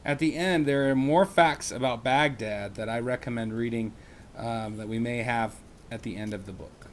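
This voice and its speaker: A man who teaches reads a children's chapter book aloud in a slow, expressive way, with small slips. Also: medium pitch, slight background noise.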